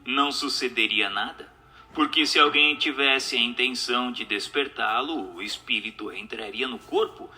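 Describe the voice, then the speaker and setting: A man with a Brazilian accent giving a formal, measured scripted narration of a spiritual text. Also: mid-range voice, bright, forceful tone. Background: music.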